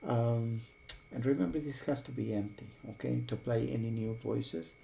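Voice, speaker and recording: A man hosting a software tutorial, explaining each step while thinking aloud. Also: medium pitch, normal speed, gravelly, slight background noise. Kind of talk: screen-recorded tutorial.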